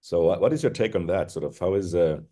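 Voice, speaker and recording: A man from Iceland, hosting a casual interview and talking in halting bursts full of restarts and fillers. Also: low-pitched, lively intonation, warm tone. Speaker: podcast host.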